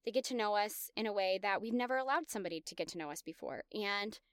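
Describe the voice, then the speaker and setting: Smooth-voiced woman sharing candid stories. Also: medium pitch, expressive, quick pace, no room sound, studio quality. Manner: candid and confessional, self-reflective. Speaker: podcast host. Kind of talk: personal storytelling monologue.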